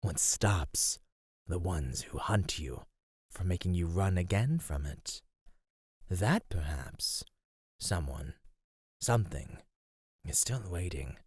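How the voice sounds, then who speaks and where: A man playing an ancient underground monster in an ASMR roleplay, speaking in a measured, menacing, teasing way with formal wording. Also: sits low, a little mellow, husky, no room sound, clean studio sound, heavily acted. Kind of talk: ASMR roleplay, slow intimate scripted acting.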